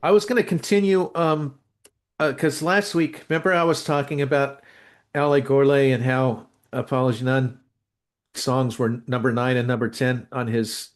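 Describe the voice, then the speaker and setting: A man's voice: medium pitch, little pitch movement, normal speed. Rambling blunt man on a podcast. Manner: rambling, blunt opinions, searches for words. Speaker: co-host, musician. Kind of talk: casual podcast chat.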